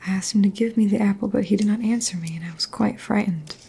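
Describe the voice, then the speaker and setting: Woman playing an anxious little storybook girl, breathy whisper, plaintive, soft mellow tone. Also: mid-range voice, fast talker. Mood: frightened. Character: innocent, anxious little daughter in a fairy tale.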